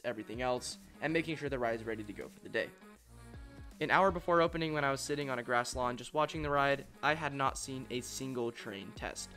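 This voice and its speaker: A young man with an average-pitched voice tells a travel story as the voice-over for his own vlog. He sounds eager and keeps the tale moving like a storyteller. Faint music plays under his voice. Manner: excited, storytelling enthusiast. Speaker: coaster vlogger. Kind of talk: voice-over narration. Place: voice-over, not at the park.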